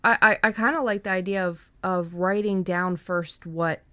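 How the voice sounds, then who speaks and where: A woman's voice: fairly low pitch, normal speed, fairly bright tone, clean tone, dry recording. A woman podcast co-host chatting casually in an informal, salty-tongued way. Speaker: podcast co-host on fiction writing. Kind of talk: casual chat.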